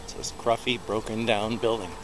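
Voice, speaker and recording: A man's voice: lowish voice, level tone, normal speed. A middle-aged American man chatting as he walks through a small town beside a busy road, holding a small recorder close to his mouth, rambling and poking fun at himself. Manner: chatty, rambling, self-deprecating narration while walking. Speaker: vlogger. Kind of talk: casual walking vlog. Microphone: small handheld recorder held close to the mouth. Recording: outdoor traffic noise. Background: loud passing traffic.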